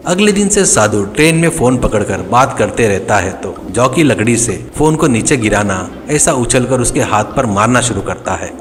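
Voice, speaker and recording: A man's voice: medium pitch, lively intonation, normal speed, few pauses, slight background noise. A man reading scripted narration for a cartoon story.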